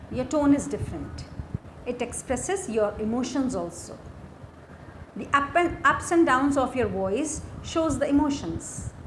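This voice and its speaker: Female lecturer, Indian accent, fairly high voice, very unhurried lesson narration in short phrases. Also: fairly bright tone, low-quality recording. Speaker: English lecturer. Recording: faint background noise.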